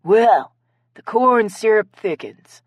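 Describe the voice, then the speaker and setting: A woman doing a husky, snide woman suspect. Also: on the high side, animated, normal speed, no echo, studio quality, voice pushed up, natural voice. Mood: suspicious, snide. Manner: snide, accusing.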